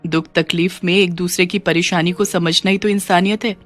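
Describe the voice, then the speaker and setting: A woman's voice: fairly low pitch, steady tone, normal speed, close to an everyday voice. Woman acting a kind household mistress, considerate, informal, instructing, cartoon story, faint music behind. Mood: kind, reassuring. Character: kind, considerate middle-class lady employer of a maid.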